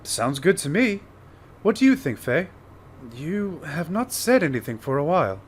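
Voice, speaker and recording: A man's voice: on the high side, sing-song, normal speed, slight background noise. A man playing a courteous, inquisitive scholarly doctor in an audio drama, with precise and formal wording. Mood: agreeable. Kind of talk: fan audio drama acting.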